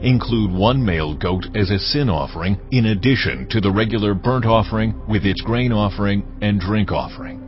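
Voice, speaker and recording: A man performing a solemn lawgiver who dictates sacred ritual commands in measured, formal phrasing for a dramatized audio Bible. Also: lowish voice, close to an everyday voice. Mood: solemn, commanding. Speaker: scripture reader. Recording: dull lo-fi audio, prominent music behind. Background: loud background music.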